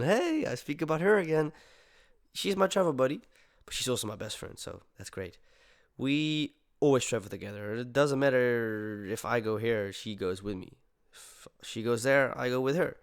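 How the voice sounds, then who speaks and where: A man's voice: mid-range voice, no echo, studio quality. Casual young man rambling to viewers. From Netherlands. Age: 20s. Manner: rambling, slangy, swears, talks straight to the audience. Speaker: podcast host. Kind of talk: casual solo monologue to viewers.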